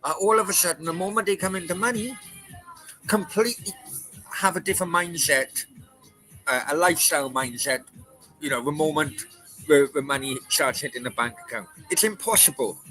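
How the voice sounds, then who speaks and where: A man's voice: fairly high pitch, brisk, bright, forceful tone, faint music behind, rough recording. A man in his forties hosting a late-night chat, ranting bluntly and with lots of swearing. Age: 40s. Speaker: podcast host.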